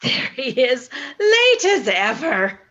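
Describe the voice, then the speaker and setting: High-voiced fussing, affectionate mother played by a woman. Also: lo-fi sound, heavy background noise, full character voice. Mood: fond fussing. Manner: motherly, drawn-out, expressive. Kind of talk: radio play acting.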